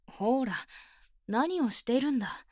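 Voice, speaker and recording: A woman playing an earnest, bookish high-school club president with stiff, boyish phrasing, speaking softly and close in a Japanese ASMR voice drama. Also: no echo, exaggerated voice. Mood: happy, eager. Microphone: close binaural microphone, dry.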